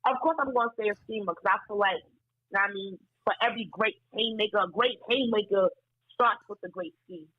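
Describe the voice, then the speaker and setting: Woman battle rapper guest, soft-spoken but blunt and profane, fast talker, dry muffled audio. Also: medium pitch, no echo. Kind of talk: candid interview talk.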